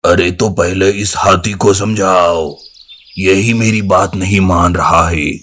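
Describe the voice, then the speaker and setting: Man voicing a deep, slow, proud lion king. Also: fairly even intonation, fairly bright tone, exaggerated voice. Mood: annoyed. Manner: haughty, commanding, drawn-out delivery. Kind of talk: Hindi animated moral story dialogue.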